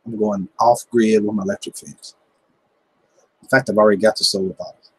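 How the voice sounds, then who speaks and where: A man's voice: on the low side, fairly even intonation, normal speed, fairly bright tone, slightly rough, dry recording, rough recording. A man in his fifties with a Southern American accent, chatting casually on a livestream in a laid-back, joking, folksy way. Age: 50s. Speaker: livestream host, gardening channel. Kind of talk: casual chat reading viewer comments.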